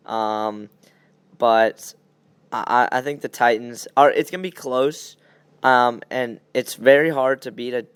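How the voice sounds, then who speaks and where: A man's voice: mid-range voice, smooth, no room sound. A young man hosting a podcast, chatting casually and giving blunt opinions on sports. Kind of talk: casual sports chat, game predictions.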